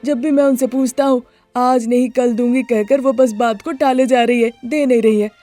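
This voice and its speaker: A woman's voice: fairly high pitch, fairly even intonation, normal speed, dark tone, lightly acted. A woman voicing an aggrieved man in a story, complaining and pleading for help, with music behind. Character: aggrieved male lender pleading for his money.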